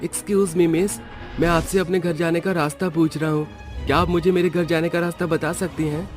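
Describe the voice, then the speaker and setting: A man's voice: on the high side, flat delivery, normal speed, close to an everyday voice. A man playing an earnest, brave young villager in a cartoon horror story, speaking with urgent drama. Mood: confused, polite. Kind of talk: Hindi cartoon horror voice acting. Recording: background music under the voice.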